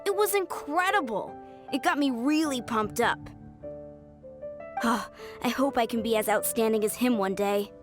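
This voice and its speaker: A woman's voice: a little high, moderate pace, close to an everyday voice. An actress playing an eager young woman training to become a knight in a video game, earnest, enthusiastic and a little flustered. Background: game music.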